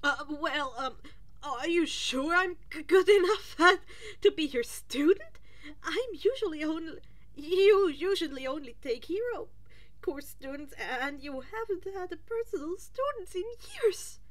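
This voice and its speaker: A woman voicing a nervous, self-doubting teenage boy in an audiobook, stuttering in a small, high voice. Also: lively intonation, unhurried. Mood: flustered. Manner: stuttering, breathless hesitations.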